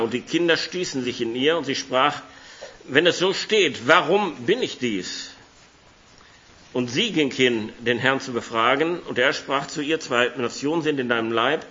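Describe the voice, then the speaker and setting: A hoarse man with a cold preaching a sermon in a church hall, chatting with the congregation and reading scripture aloud. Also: medium pitch, sharp tone, lo-fi sound. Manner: conversational, reads scripture aloud.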